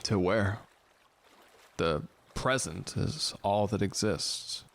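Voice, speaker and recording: A low male voice for an audio drama. He is a fatalistic philosopher aboard a ship, speaking solemnly and deadpan, as if every thought were a grave conclusion. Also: slow, gravelly, heavily acted. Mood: somber, musing. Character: gloomy philosophical ship's man, fatalistic and slow to romance.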